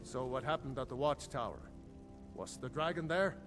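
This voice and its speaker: A weary Nord jarl, played by a male actor in a fantasy game. He speaks with measured, stately authority at a middling pitch, with soft music behind him. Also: close to an everyday voice. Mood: intent. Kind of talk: fantasy video game voice acting.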